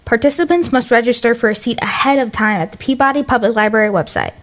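A woman's voice: fairly high pitch, expressive, quick pace. An American woman presenting on the radio as host, reading from a script. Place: radio studio.

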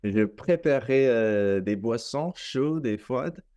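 A young Canadian man, a native English speaker, talking in careful learned French on a video call, with many hesitant pauses. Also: mid-range voice, animated, mellow. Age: young adult. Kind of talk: casual conversation in learned French. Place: small room at home. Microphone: laptop webcam mic on video call.

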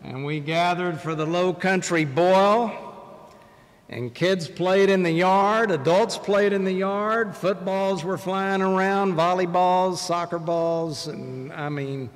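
An older man, a bishop, delivering a measured, deliberate sermon from a pulpit in a large church sanctuary. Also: high-pitched. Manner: measured, deliberate preaching with pauses. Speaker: bishop preaching. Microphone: pulpit microphone, far camera. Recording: long church reverb.

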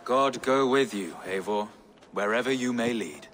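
An actor playing a pious, loyal English bishop in a video game, speaking in a formal, courtly way. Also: male voice, medium pitch, a little slow, fairly bright tone, faint music behind, natural voice.

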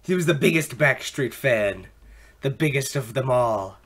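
Man, slow theatrical delivery, very lively intonation, amateur comedy dub. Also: medium pitch, background noise. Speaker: amateur fan dub performer. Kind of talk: improvised comedic fan dub acting.

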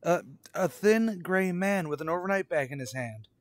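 A man's voice: high-pitched, lively intonation, dry recording. Man acting a haunted, anxious motorist. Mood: frantic, insistent. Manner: dramatic, halting and stammering when rattled. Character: haunted cross-country motorist, man, anxious, increasingly obsessive. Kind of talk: old-time radio suspense drama acting, first-person narration and dialogue.

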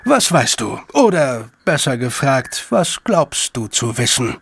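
A man acting a shrewd, condescending gentleman in an audio drama, with formal, measured phrasing and a creaky voice. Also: mid-range voice, big pitch swings, a little hiss or hum, full character voice. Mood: probing, superior. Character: shrewd, superior gentleman, elder brother of a detective.

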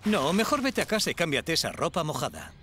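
A man voicing a calm, good-natured adult firefighter in a dubbed cartoon, speaking patiently. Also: a little high, brisk, noisy background. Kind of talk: cartoon dubbing.

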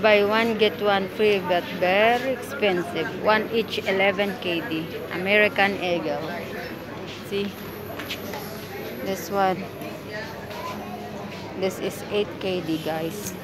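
Woman vlogging in a loud crowded mall. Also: medium pitch, steady tone, slow pace, long pauses, a loud crowd. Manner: exclaims to viewers, repeats phrases. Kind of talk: casual mall walk-through vlog. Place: busy indoor shopping mall. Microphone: handheld phone camera.

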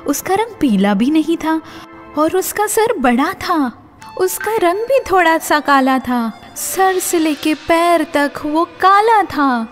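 A woman reading a children's story as an animated scripted narration. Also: very high-pitched, soft background music.

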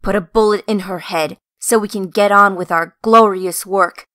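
A woman's voice: mid-range voice, steady tone, moderate pace, fairly bright tone, no room sound, clean studio sound, natural voice. A woman playing a ruthless, fanatical young revolutionary in a comic dub, hard and commanding. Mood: impatient, ruthless. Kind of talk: amateur comic dub acting.

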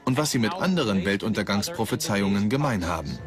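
A man playing a brisk, commanding leader of a documentary team in a voice-over style. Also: on the low side, animated, lightly acted. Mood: narrating. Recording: music under the voice.

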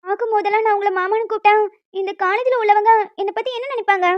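A woman's voice: extremely high pitch, steady tone, very fast, no echo, muffled recording. A woman voicing a naive young female college fresher in a cartoon, polite and childlike as she pleads and protests. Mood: protesting. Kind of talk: cartoon story dialogue.

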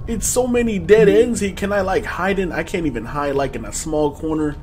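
A man in his twenties giving casual, slangy horror game commentary to his viewers in an animated, excitable way. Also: fairly high pitch, brisk, slight background noise. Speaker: gaming video host. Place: small room at home, indoors.

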